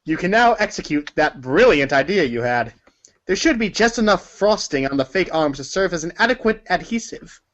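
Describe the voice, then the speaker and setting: Casting: male storybook narrator, higher-pitched voice, second-person narration, slightly muffled lo-fi sound. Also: animated, moderate pace, a bit bright. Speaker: narrator of a group reading. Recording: dull lo-fi audio.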